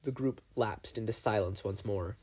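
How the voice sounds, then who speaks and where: A man reading fan fiction aloud in a steady, unhurried narration. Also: lowish voice. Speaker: podfic reader.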